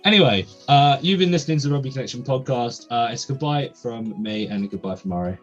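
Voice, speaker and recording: A man's voice: mid-range voice, quick pace, soft background music. Young male host, chatty and filler-heavy; muffled lo-fi recording. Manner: chatty, many ums and uhs. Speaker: podcast host. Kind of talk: casual podcast chat.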